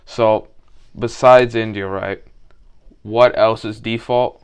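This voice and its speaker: Young guy, low smooth voice, rambling storyteller, casual podcast co-host. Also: fairly even intonation. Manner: rambling, drawn-out storytelling with many fillers. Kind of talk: casual chat.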